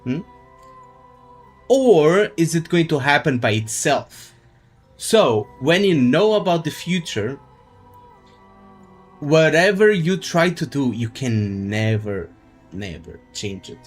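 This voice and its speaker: A man's voice: on the high side, animated, slow, pauses often, faint music behind. A man doing casual, musing live commentary as he streams a game, rambling and swearing casually.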